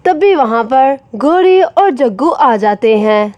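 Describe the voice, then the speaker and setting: A woman's voice: high voice, animated, slow, a little mellow. A woman narrating a scripted children's story. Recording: faint rain and background noise.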